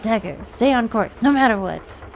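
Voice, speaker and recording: A woman's voice: fairly high pitch, expressive, moderate pace, vocal fry, slight background noise. A woman voicing a cocky young male video game hero who gives urgent, commanding orders.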